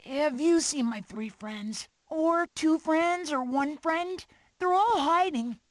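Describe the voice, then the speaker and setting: A woman voicing an earnest, sweet young animal child in an animated prehistoric video game. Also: high-pitched, takes it slowly. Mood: puzzled.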